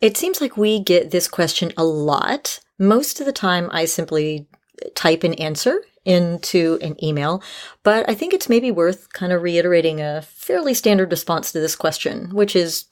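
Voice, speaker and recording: A woman's voice: fairly low pitch, lively intonation, moderate pace. A woman hosting an advice podcast, talking casually but in a frank, articulate, organized way. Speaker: podcast host answering listener questions.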